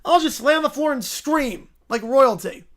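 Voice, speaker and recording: A man's voice: very high voice, lively intonation, a bit bright, voice pushed up. A man voicing a childish, bossy sports fan who whines petulantly and wants everyone to be miserable. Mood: bossy, exasperated. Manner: whining, petulant, drawn-out complaints.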